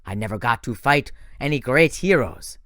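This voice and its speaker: A man's voice: mid-range voice, expressive, moderate pace, fairly bright tone, dry recording, clean studio sound, lightly acted. A man voicing a menacing male monster who speaks with a heavy French accent and gloats over his captives. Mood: gloating.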